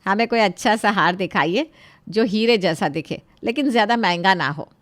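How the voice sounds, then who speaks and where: A woman voicing a middle-aged mother-in-law, motherly but quick to scold, in a family story. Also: medium pitch, dry recording, close to an everyday voice. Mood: pleased.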